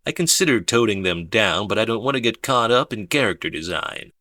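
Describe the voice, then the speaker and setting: A man narrating a first-person audiobook in a measured, understated way. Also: on the low side, expressive, pushed and bright, no echo.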